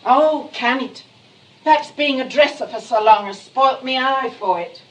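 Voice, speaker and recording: A woman's voice: sits high, a little slow, poor audio. An actress playing a blunt, motherly British housekeeper in a 1920s stage comedy, homely, colloquial and matter-of-fact. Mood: disapproving. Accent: British English. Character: blunt, motherly female housekeeper and former theatrical dresser. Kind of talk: 1920s drawing-room comedy acting.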